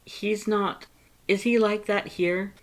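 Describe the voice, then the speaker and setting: Casting: a narrator playing an earnest, warm-hearted male skeleton for an audiobook, pitched well up, loud and theatrically emphatic. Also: fairly even intonation, slow pace, natural voice, rough recording. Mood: concerned. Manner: emphatic, exclamatory, theatrical delivery. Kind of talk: character voice in fan fiction audiobook.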